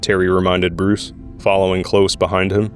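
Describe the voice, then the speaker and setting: A man's voice: low-pitched, level tone, normal speed. A man reading scripted narration for an audio drama. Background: background music.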